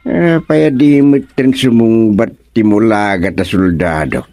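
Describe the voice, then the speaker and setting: A man's voice: lowish voice, measured pace, dry recording. An actor with an Ilocano accent playing a bossy, blustering king in a radio comedy, taunting and exclaiming. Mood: exasperated. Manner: exclamatory, taunting, swooping between mutters and yells. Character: bossy, blustering male king scolding his cowardly soldier.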